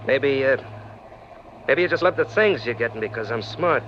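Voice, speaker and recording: An actor playing a tough, cocky small-time crook with slangy, hard-boiled delivery in 1940s radio style. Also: male voice, mid-range voice, lively intonation, normal speed, close to an everyday voice. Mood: smug, wary. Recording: muffled, noisy 1940s radio recording.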